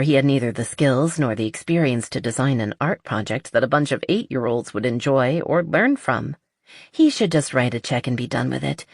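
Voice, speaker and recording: Low-pitched woman narrating an audiobook. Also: no echo, studio quality. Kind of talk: scripted narration of a romance novel.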